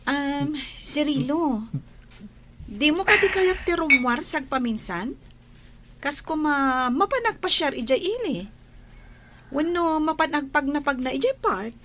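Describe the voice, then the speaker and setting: High-voiced young Ilocano village woman. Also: very animated, a little slow, fairly bright tone, full character voice. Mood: friendly, shy. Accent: Ilocano Filipino. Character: caring, candid young village woman, a close friend. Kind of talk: Ilocano radio drama acting.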